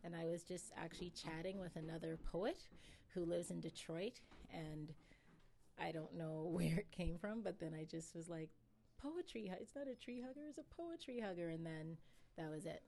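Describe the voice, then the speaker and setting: A woman poet answering interview questions in a thoughtful, easygoing way, laughing often and pausing with fillers. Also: fairly low pitch, mellow, slight background noise. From England, raised in Nova Scotia.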